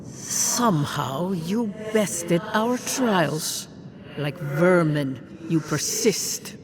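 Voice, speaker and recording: An actress playing a cold, contemptuous guardian spirit in a fantasy game, with deliberate, scornful delivery. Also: female voice, on the low side, background noise.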